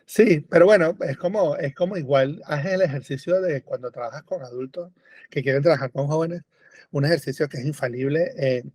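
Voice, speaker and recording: A Venezuelan-born man chatting as a radio guest, animated and full of fillers and rephrasing. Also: a little high, fairly quick, dry recording. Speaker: guest, book editor. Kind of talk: casual radio conversation. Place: remote call or studio, lives in Europe.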